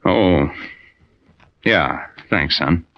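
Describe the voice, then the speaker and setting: An actor playing a gruff, reticent, fatherly seaman in a radio drama, with terse, halting replies. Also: low-pitched, expressive, heavily acted. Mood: subdued, awkward. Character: gruff married older seafaring diving man, reticent, fatherly. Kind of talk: 1940s radio drama acting. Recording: muffled, noisy old radio recording.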